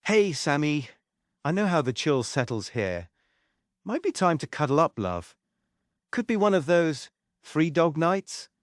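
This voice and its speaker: A man's voice: a little high, sing-song, a little slow, long pauses, dry recording, studio quality. A man playing a cheeky, mocking showman and mischief-maker, teasing his way through a play table read. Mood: flirtatious. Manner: teasing, swaggering.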